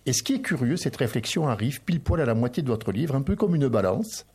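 A man's voice: medium pitch, very animated, fast talker, a little mellow, husky. A male interviewer asking long questions and reading passages from a book aloud. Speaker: interviewer, host.

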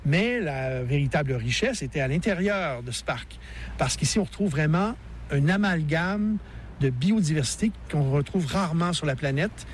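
A man from Gaspésie with a Québécois accent, explaining his national park in a relaxed radio interview, with plenty of fillers. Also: on the high side, normal speed, slight background noise. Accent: Québécois French. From Gaspésie, Québec.

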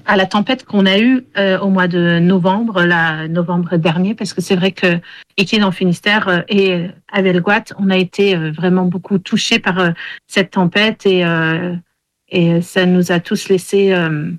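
A woman's voice: lowish voice, fairly even intonation, measured pace, no room sound. Hesitant woman, native English speaker. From Wales. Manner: hesitant, many fillers. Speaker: storyteller and singer. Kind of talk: interview.

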